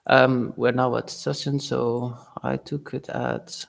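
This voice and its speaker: A young man from Belgium talks through his trades on a live stream, reading chat aloud and pausing on fillers. His mid-range voice carries a gravelly, fry-tinged edge. The delivery is loose and conversational. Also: mid-range voice, level tone. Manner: casual, halting, reads chat aloud with fillers. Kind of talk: live-stream trading commentary.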